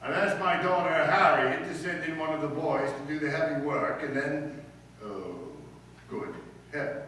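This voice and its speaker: An echoey, distant recording of a man acting as a pompous middle-aged school principal from radio comedy. His voice is fairly high and forceful, and he speaks in formal, commanding phrases. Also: normal speed. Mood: exasperated. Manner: pompous, authoritarian, formal diction. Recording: echoey room from far off, faint noise.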